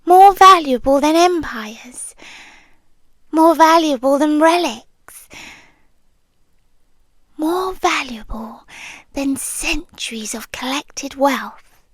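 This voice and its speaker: A British woman playing a possessive, devoted yandere girlfriend in an intimate ASMR roleplay, soft and breathy with dramatic pauses, often slipping into whispers. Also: high voice, no echo. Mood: adoring, obsessive. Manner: slow, breathy, dramatic pauses, lovesick intensity.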